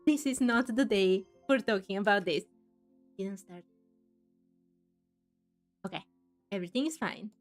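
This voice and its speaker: A woman's voice: mid-range voice, unhurried, pauses often. A woman giving casual commentary as she plays through a game, chatty and musing aloud, with game music behind. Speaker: gaming streamer. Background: faint game music.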